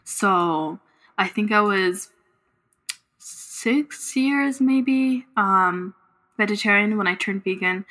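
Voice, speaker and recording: A woman's voice: mid-range voice, slow, fairly bright tone, low-quality recording. A woman in her late twenties chatting casually as a guest on a podcast. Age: late 20s. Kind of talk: casual chat.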